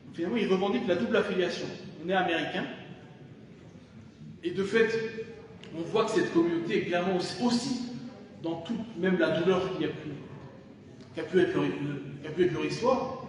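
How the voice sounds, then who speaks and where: A man gives a public lecture in a big hall, and his fairly high voice carries a long, booming echo. He sounds distant from the microphone, with a faint hiss behind him. He speaks thoughtfully, pausing often and restarting phrases. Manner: thoughtful, many hesitations and restarts. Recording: echoey hall from far off, faint noise.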